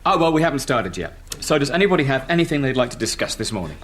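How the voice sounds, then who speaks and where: A man's voice: medium pitch, big pitch swings, rapid-fire, a bit bright, slight background noise. An actor playing an earnest, eager and easily exasperated British man trying to organise his colleagues in a radio sitcom. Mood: patient.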